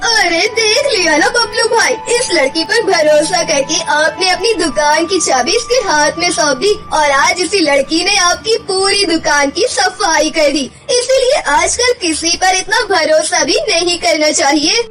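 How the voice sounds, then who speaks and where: A woman's voice: very high voice, lively intonation, a little slow, few pauses, fairly bright tone, heavily acted. A woman voicing a suspicious, gossiping neighbouring shopkeeper in a cartoon story, with a needling, accusatory manner. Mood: accusing. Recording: music behind the voice.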